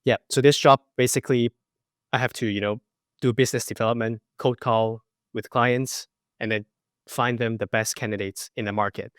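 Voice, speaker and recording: A man in his twenties from Macau, a podcast guest telling his story in a relaxed way with lots of fillers. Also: mid-range voice, normal speed, no room sound, studio quality. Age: 20s. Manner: relaxed, many fillers like you know. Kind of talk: casual interview.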